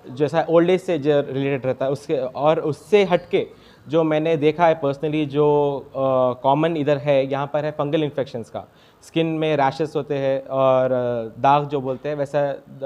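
A young man, a doctor answering interview questions haltingly, with broken starts and English medical terms. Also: medium pitch, darkish tone. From India. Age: young adult. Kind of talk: interview answers at a health camp. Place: small clinic room, indoors. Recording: dull lo-fi sound.

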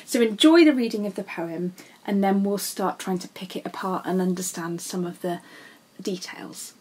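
A woman's voice: mid-range voice, moderate pace, darkish tone, husky. A woman teacher explaining literature in a recorded lesson, informal and addressing students directly. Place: voice-over for a slide lesson.

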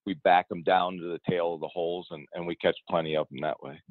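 A man's voice: low voice, fairly even intonation, fast talker, dry recording. A middle-aged man, a fishing guide, answering interview questions in an easygoing way, often repeating words and restarting his sentences. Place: outdoors in a boat on the water. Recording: tinny phone-like line.

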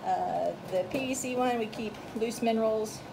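A woman's voice: a little high, normal speed, vocal fry, background noise. A woman narrating a casual walkthrough video tour as a farm owner, recorded on a handheld phone in a wooden barn. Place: wooden goat barn with open doors. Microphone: handheld phone, behind the camera.

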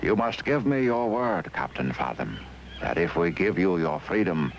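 A man playing a cold, controlling elderly criminal mastermind in a vintage adventure cartoon, measured and condescending. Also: sits low, big pitch swings, a little hiss or hum. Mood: cold, commanding. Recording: muffled old cartoon soundtrack, loud music.

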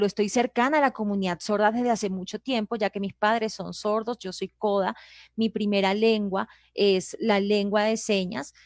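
Steady woman interpreter, dry close sound. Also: mid-range voice, no room sound. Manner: steady, hesitant "eh" fillers. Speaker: sign language interpreter. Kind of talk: self-introduction in a live panel talk.

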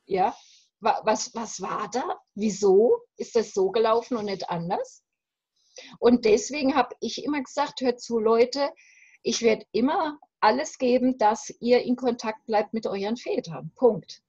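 A woman in her fifties from West Germany, talking emphatically and haltingly as a coach being interviewed on a video call. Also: fairly high pitch, a little mellow, husky, dry recording. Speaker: separation coach, interview guest. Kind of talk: reflective interview conversation. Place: room at home. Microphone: video call, computer microphone. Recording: muffled video-call audio.

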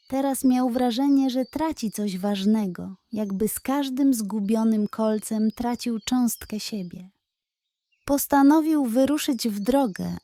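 A woman reading a scripted children's bedtime fairy tale as an expressive storyteller. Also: on the high side.

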